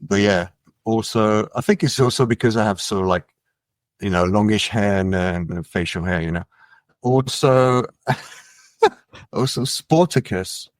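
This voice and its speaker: A middle-aged man from France, a musician guest in a casual interview, talking in a relaxed, musing way with many fillers. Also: fairly low pitch, unhurried, long pauses, no room sound. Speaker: musician and label head, guest.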